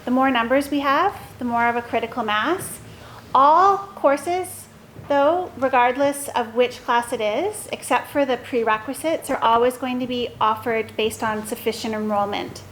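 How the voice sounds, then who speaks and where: Woman, fairly high pitch, school staff speaker, explaining to an assembly, slight background noise. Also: unhurried, a bit bright, rough recording. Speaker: school staff member leading the gathering.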